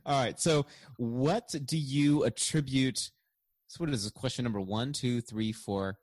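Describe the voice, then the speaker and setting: A man's voice: medium pitch, slow, pauses often, darkish tone, no echo. A man hosting a podcast in a casual, jokey, rambling way, with lots of restarts and laughs. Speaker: podcast host.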